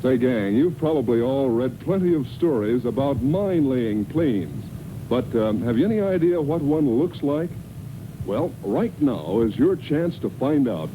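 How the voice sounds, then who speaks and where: Through the noise of an old radio recording comes an American cereal pitchman. He is chummy and enthusiastic, chatting to kids with very lively rises and falls. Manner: chatty, folksy, enthusiastic salesmanship. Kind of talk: 1940s children's radio advertising. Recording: noisy, rumbling vintage radio recording.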